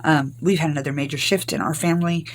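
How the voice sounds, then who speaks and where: An older woman from Arkansas, hoarse from recent illness, chatting through a rambling crafting tutorial. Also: deep voice, steady tone, fast talker, a little hiss or hum. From Arkansas, US South. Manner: rambling, homey, many ums. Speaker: craft channel host.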